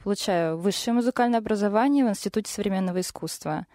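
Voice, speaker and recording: A woman's voice: mid-range voice, fast, warm tone, dry recording. Young woman singer, dry close voice. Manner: hesitant, thoughtful, with fillers. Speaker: singer, studio guest. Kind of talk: casual interview talk.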